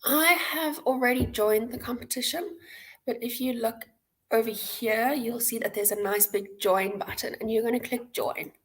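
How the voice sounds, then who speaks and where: A South African woman with a fairly high voice leads a live online tutorial. She explains each step in an informal, instructional way, as a host guiding participants through a platform. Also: normal speed, low-quality recording. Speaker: hackathon host and presenter. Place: indoor room, on a livestream webcam.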